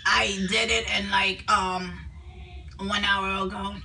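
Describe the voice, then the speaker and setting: Young woman, bright voice, casual prank caller, slightly distant mic, faint noise. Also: mid-range voice, flat delivery, slow pace, vocal fry. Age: young adult. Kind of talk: casual prank phone calls. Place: small indoor room at home. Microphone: camera a few feet away.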